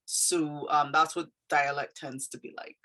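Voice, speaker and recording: A woman's voice: low-pitched, flat delivery, measured pace, sharp tone. A woman from Trinidad with a Trinidadian accent and a slight Canadian tinge, a poet explaining her poem in a thoughtful, hesitant way with many fillers. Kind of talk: casual explanatory talk.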